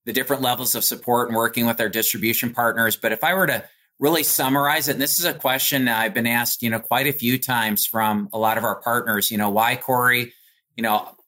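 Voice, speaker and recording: A man's voice: medium pitch, fairly even intonation, a little fast, sharp tone. An American man speaking as a company executive in an interview, explaining things conversationally in polished corporate language peppered with "you know". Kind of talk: business interview answer, conversational.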